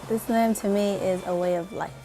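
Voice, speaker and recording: A fourteen-year-old girl from New Jersey speaking slowly and carefully in an interview. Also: mid-range voice, fairly even intonation, music in the background, rough recording. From Camden, New Jersey. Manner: slow, careful.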